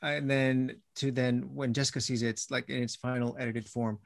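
A man's voice: medium pitch, little pitch movement, moderate pace, creaky. A man chatting as a guest, reflective and rambling, often restarting his sentences. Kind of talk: casual conversation.

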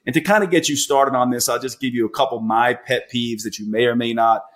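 A man's voice: mid-range voice, steady tone, fast talker, a bit bright. A man hosting a podcast, a clinician asking long, winding, earnest questions with restarts. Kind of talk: interview questions.

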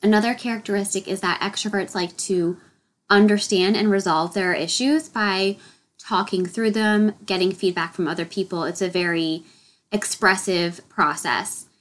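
A woman's voice: mid-range voice, steady tone, normal speed. A woman hosting her solo podcast, a little nasal and congested from a head cold, explaining a topic in an upbeat way. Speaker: podcast host.

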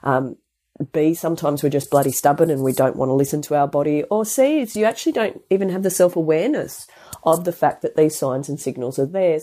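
Congested woman, low and mellow. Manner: chatty, rambling with restarts and asides. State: congested with a head cold. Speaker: podcast host and health practitioner. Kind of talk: casual solo podcast talk.

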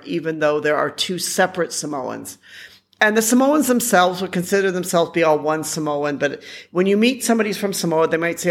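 A woman with an American accent hosting an informal explanatory podcast on her own, speaking conversationally and stressing points by repeating them. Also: lowish voice. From United States. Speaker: podcast host.

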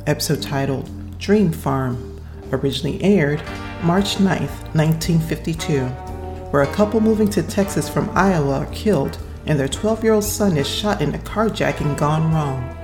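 A man's voice: fairly high pitch, moderate pace. A man hosting a show, reading a scripted introduction. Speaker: podcast host. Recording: music behind the voice. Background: music.

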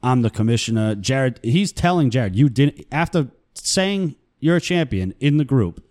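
Male co-host, casual and agreeable, mid-pitched voice. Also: very animated, moderate pace, darkish tone. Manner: easygoing, agrees often, swears casually. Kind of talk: casual chat.

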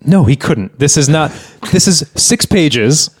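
A man's voice: a little high, expressive, unhurried. A man in his thirties hosting a podcast in casual banter, with a dry, exasperated way of objecting. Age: 30s. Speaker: podcast host.

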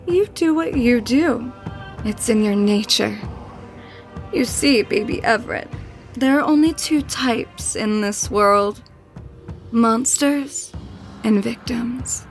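An actress playing a sinister, seductive tempter, deliberate and coaxing, in a horror audio drama. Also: female voice, on the high side, faint music behind. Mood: menacing, coaxing. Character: sinister, seductive female tempter promising dark power.

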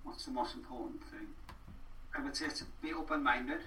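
A man with a very bright, sharp voice gives earnest interview answers. He often repeats phrases for emphasis. The recording is roomy and distant from the mic. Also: medium pitch, moderate pace, a little hiss or hum. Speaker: podcast guest. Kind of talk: conversational interview.

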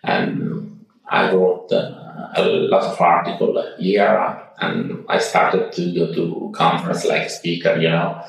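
A man's voice: sits low, sing-song, slow pace, gravelly. Italian-accented man, very echoey distant line. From Italy. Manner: hesitant, many fillers and restarts. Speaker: guest. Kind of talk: casual interview. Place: home in Switzerland.

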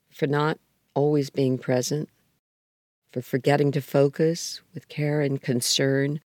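Slow-paced older woman reading poetry. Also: low-pitched, darkish tone, dry recording, clean studio sound. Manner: measured, deliberate phrasing with pauses. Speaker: writer reading own poem.

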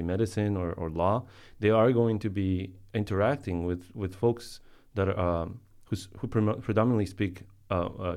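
A man's voice: deep voice, a little mellow. A man from Colombia, a native Spanish speaker, talking casually as a podcast guest and searching for his words. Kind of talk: casual podcast interview talk.

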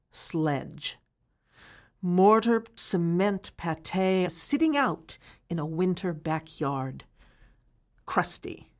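An actress playing a weary woman prisoner, delivering a slow, brooding poetic monologue. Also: lowish voice. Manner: slow, brooding, lingering on each image. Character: weary female prisoner musing on gray.

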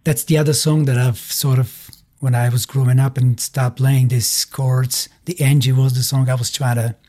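A middle-aged man with a Bulgarian accent, speaking haltingly in non-native English as he tells his story in a casual interview. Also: medium pitch, flat delivery, mellow. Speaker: musician being interviewed.

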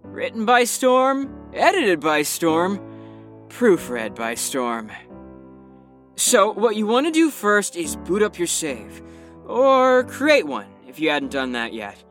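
High, lively, sardonic young man, acted. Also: takes it slowly, soft background music, exaggerated voice. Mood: flippant. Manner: casual, sardonic, theatrical. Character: flippant, sarcastic young male patient narrating game guide. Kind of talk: mock video game guide in audio drama.

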